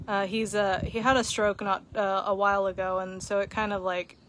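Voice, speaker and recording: A woman's voice: mid-range voice, flat delivery, moderate pace, a bit bright, slight background noise. A young woman from Okinawa, Japan, chatting casually on a live stream outdoors, thoughtful, with many fillers. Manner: thoughtful, talks with frequent fillers and laughs. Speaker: vlog host. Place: outdoors under a concrete shelter in a grassy park. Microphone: phone held at arm's length.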